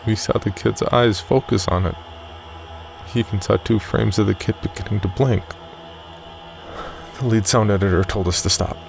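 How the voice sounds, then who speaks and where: Horror podcast narrator, male, very low and creaky, measured and grave first-person storytelling. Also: steady tone, faint music behind, low-quality recording.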